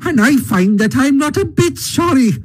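A man's voice: very high-pitched, animated, moderate pace, pitched above the performer's own voice. A man voicing a defiant, boastful toad in a storybook reading, with an impatient, gushing and emphatic manner. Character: excitable, defiant, boastful male toad of leisure.

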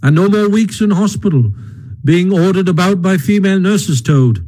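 A man voicing a sceptical but kindly rat in a storybook, dubious and gently chiding in manner. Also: sits high, expressive, normal speed, voice pushed up. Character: sceptical, kindly, knowing male rat friend.

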